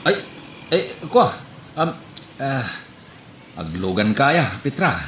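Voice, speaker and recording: A man playing a flustered, excitable jeepney driver in an Ilocano comedy drama, stammering and exclaiming, with an Ilocano accent. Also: mid-range voice, slow, a little hiss or hum. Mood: nervous, stammering. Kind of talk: comedy radio-drama acting. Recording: roomy, echoey voice recording.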